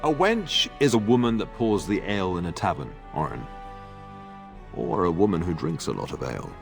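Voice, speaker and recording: A man's voice: deep voice, big pitch swings, moderate pace, creaky, over music, heavily acted. A middle-aged man playing a genial, dignified lord and proud father in a video game. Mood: amused.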